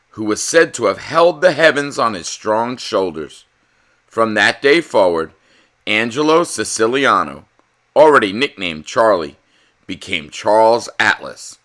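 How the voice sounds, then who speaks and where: A man in middle age hosts a children's read-aloud with a bright, forward voice. His pitch moves up and down freely, and he tells things in an emphatic, storytelling way. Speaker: read-aloud host reading a children's picture book. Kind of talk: expressive children's storybook narration, with casual chat to viewers.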